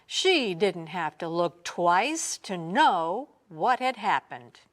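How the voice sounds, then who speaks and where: A woman reading a children's storybook aloud in an expressive, theatrical way, sometimes dropping to a hush. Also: on the low side. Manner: expressive, theatrical, drawn-out phrasing with hushed drops. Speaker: storybook reader. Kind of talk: dramatic children's read-aloud narration.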